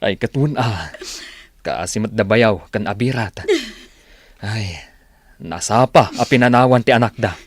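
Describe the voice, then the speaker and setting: A man's voice: lowish voice, unhurried, long pauses, dry recording, studio quality. A man with an Ilocano accent playing a talkative working husband and father in a radio drama. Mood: sympathetic.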